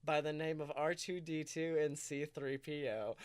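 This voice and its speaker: A man co-hosting a podcast, chatting casually in an excitable, jokey storytelling manner. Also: fairly high pitch, normal speed. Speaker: podcast co-host. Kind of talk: animated casual podcast chat.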